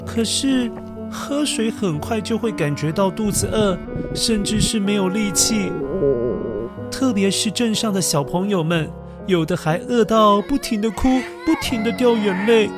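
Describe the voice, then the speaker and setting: A man tells a children's bedtime-style story in a gentle, coaxing voice, talking straight to young listeners. He leans into playful sound effects and drawn-out words. Clear music plays underneath throughout. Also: high-pitched. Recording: narration over backing music.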